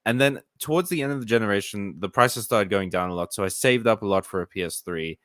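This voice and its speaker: A man chatting casually on a gaming podcast, easygoing and quick to laugh. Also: fairly low pitch, fairly bright tone, dry recording, clean studio sound. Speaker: podcast co-host. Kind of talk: casual chat.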